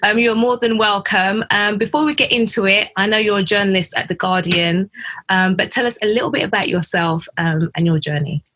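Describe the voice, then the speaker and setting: Bright-voiced British woman podcast host. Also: medium pitch, fairly quick, slight background noise. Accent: British English. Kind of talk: casual chatty film podcast interview.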